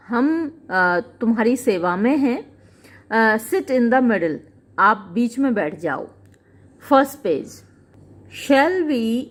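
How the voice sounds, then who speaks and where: Woman tutor, measured and slow, explains a classic text line by line. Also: a little high. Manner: reads English lines slowly, then explains in conversational Hindi. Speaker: English literature teacher. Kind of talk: line-by-line play explanation in Hindi.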